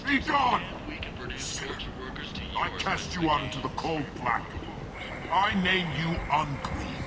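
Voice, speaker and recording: An actor playing a zealous, menacing alien street preacher, declaiming bombastically in solemn, archaic apocalyptic sermon style for a video game. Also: male voice, a little high, very animated, slow pace, heavy background noise. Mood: wrathful. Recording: loud music over the voice.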